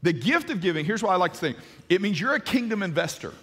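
Husky-voiced male pastor, forties, lively sermon on stage, reverberant hall sound. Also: high voice, fast talker. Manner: animated, gesturing, many restarts. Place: large church stage.

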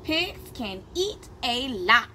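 A woman in her thirties reading a picture book aloud to young children as their teacher, in simple, deliberate phrases, recorded outdoors by a camera a short distance away. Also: on the high side, very animated. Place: outdoors, on a patio beside plants. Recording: clear outdoor background noise.

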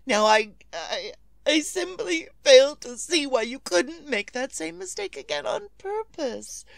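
A high-strung, imperious married woman in an old radio thriller. Her high voice swoops theatrically as she frets and demands. The sound is close and dry. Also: sing-song, creaky, no room sound, exaggerated voice. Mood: indignant, impatient. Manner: dramatic, demanding, flustered and halting. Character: imperious, anxious, fretful married woman making frantic phone calls. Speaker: lead actress. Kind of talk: 1940s radio thriller acting.

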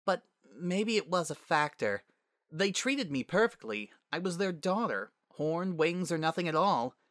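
A man's voice: on the high side, animated, unhurried, a bit bright, no echo, studio quality, voice pushed up. A man voicing a feisty, wounded young unicorn girl in a narrated fantasy story. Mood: soft, wistful.

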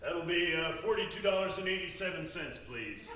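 A man performing a live improv comedy scene as a lazy, sassy fast-food worker who lectures the customer, recorded on a small theater stage. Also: a little high, lively intonation. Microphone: distant room mic. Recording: echoey stage from far off, noisy.

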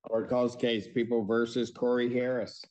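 An older man with an American accent presiding as a judge in a courtroom over a video call, speaking in a deliberate, measured way. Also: mid-range voice, little pitch movement, mellow, dull audio. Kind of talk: formal courtroom proceedings.

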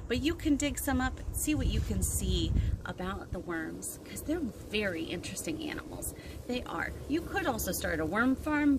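Chatty woman storytime host, noisy outdoor recording. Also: mid-range voice. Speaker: children's librarian and storytime host. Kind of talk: casual instructional talk to young children. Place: outdoors on a backyard deck. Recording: outdoor recording with wind and ambient noise.

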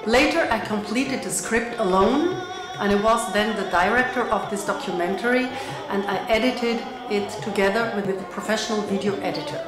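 Middle-aged woman artist talking to camera, explains slow and careful, echoey room, bit of music under. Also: mid-range voice. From Switzerland and Luxembourg. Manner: measured, explanatory, with fillers and restarts. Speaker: visual artist. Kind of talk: recorded artist talk to camera. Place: indoor room with bare wall. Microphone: camera framed at chest height.

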